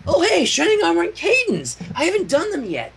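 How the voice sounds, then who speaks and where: A man voicing an excitable, bubbly young female pony in a fan fiction reading. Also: extremely high pitch, brisk, vocal fry, background noise, pitched above the performer's own voice, exaggerated voice. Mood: excited.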